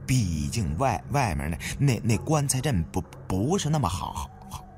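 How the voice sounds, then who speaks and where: Guy putting on a stuttery tomb robber buddy for an adventure audiobook, jumpy pitch. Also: very animated, moderate pace, a little mellow, slight background noise. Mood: hesitant. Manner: heavy stutter, repeating syllables. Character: stuttering male tomb raider sidekick, rough and practical.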